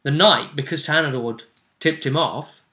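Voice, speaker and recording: Bright-voiced man, casual game master. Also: on the high side, normal speed. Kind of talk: casual recap of a tabletop game story.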